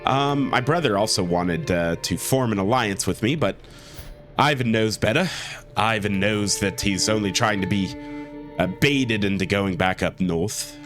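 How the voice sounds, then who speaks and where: A man with a cold talks through a strategy game in a lowish, stuffy voice. He keeps it easygoing and leans on plenty of "uh"s. Faint music plays underneath. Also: measured pace, a bit bright. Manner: easygoing, with many "uh" and "um" fillers. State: sick with a cold. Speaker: let's play host. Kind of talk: gameplay commentary.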